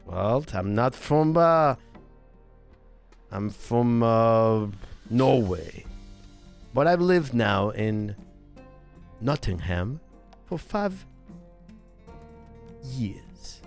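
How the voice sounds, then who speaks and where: A man improvising a proud, grim Norwegian in medieval Nottingham, with a mock Norwegian accent and slow, halting deadpan delivery. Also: mid-range voice, creaky, faint music behind, exaggerated voice.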